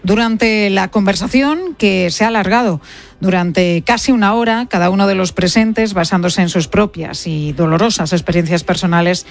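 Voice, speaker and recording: A woman's voice: medium pitch, normal speed, gravelly. Female radio host, Castilian accent, mid-range voice, presenting and commentating live. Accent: Castilian Spanish.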